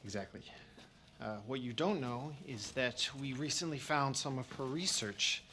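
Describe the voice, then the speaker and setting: An actor playing a male researcher who lays out a plan in a steady, explanatory way. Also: medium pitch, moderate pace, a little hiss or hum, natural voice. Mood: explaining.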